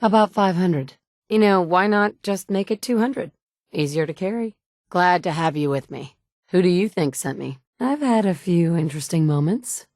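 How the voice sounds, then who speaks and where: Brief: a curt game survivor heroine, low-set voice, dry studio sound. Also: female voice, lively intonation, normal speed, no echo. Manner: curt and dry, shifting between blunt and gentle. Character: tough wasteland survivor woman, blunt and wry. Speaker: player character. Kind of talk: video game dialogue lines. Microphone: close studio mic.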